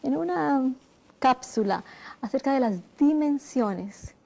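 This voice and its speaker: Woman, TV health show host, mellow, slightly high voice, earnest and gentle delivery. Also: lively intonation, gravelly. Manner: gentle, earnest, drawn-out emphasis. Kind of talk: hosting, interviewing.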